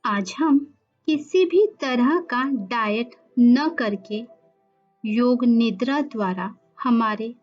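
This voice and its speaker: A woman leading a guided yoga meditation as scripted instruction, measured, pausing between short phrases. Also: a little high. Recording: dull lo-fi recording with music behind. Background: background music.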